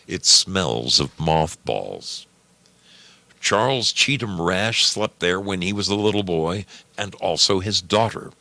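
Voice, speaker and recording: A man reading a story aloud in a deliberate, measured manner, as scripted narration. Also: low voice, vocal fry, bright, forceful tone, no echo. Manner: slow, deliberate, measured delivery.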